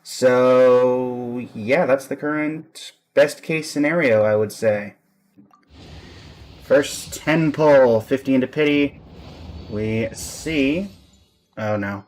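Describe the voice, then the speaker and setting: A man's voice: medium pitch, slow, long pauses, a little mellow. A man giving casual live-stream game commentary as the host, with a dry, resigned way of talking. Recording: music playing behind the voice. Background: background music.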